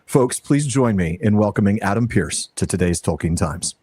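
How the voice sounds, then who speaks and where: A man's voice: on the low side, animated, moderate pace, darkish tone, breathy edge, dry recording. A man hosting a podcast, chatting casually, introducing guests and laughing often. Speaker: podcast host.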